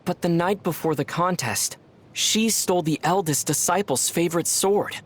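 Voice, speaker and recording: A man voicing a calm, thoughtful young traveler hero in a video game, speaking in a measured way. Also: sits high. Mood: storytelling.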